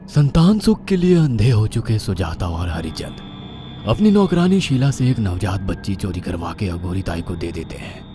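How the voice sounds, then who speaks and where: A man's voice: fairly low pitch, big pitch swings, normal speed. A man narrating a scripted horror story dramatically, with music playing behind him. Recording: loud background music.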